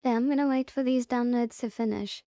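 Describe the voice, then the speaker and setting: A woman hosting a casual software tutorial, explaining it step by step in a halting way. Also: fairly high pitch, steady tone, smooth, dry recording, clean studio sound. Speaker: tutorial host.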